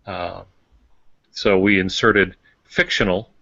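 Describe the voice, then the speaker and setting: An older man giving casual, encouraging writing advice as a podcast co-host, in a measured manner. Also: deep voice, steady tone, creaky, bright, forceful tone, no echo. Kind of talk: casual instructive talk. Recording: dry, muffled lo-fi online-call audio.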